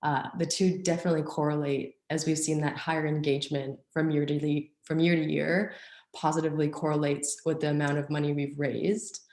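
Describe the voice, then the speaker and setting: A woman's voice: deep voice, level tone, a little fast, a little mellow, roomy sound. A young woman with a cold presents social media fundraising tips at a conference, upbeat and full of fillers. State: has a cold and cough. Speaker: nonprofit communications officer.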